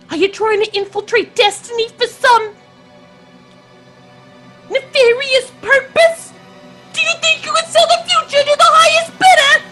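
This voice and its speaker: A woman playing an imperious, suspicious alien receptionist, theatrical and excitable, in a comic sci-fi audio drama. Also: very high-pitched, heavily acted. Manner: theatrical, bossy, interrogating.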